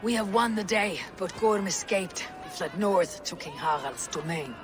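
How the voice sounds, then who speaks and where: A determined Viking warrior acted by a man in a video game. The voice is slightly high for a man and measured, with outdoor noise behind it. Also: crowd noise, close to an everyday voice. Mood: grim resolve.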